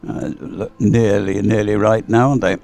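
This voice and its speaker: Low-voiced, mellow man commentating on sport. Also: steady tone, moderate pace, gravelly. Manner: rambling, hesitant, thinking aloud. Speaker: race commentator. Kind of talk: live dragon boat race commentary.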